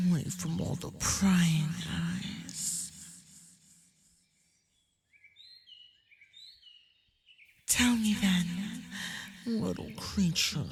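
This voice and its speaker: A woman voicing a menacing, sadistic male fox spirit in an ASMR roleplay, taunting prey in a breathy, half-whispered manner. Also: medium pitch. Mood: cold, taunting. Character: menacing femboy kitsune, sadistic forest predator mocking prey.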